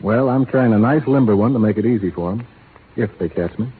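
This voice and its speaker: An actor playing a reckless, joking young cowboy turned rustler in a 1930s radio drama, tinny old broadcast sound. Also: male voice, lowish voice, fast talker, slight background noise, natural voice. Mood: flippant. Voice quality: flippant, easygoing.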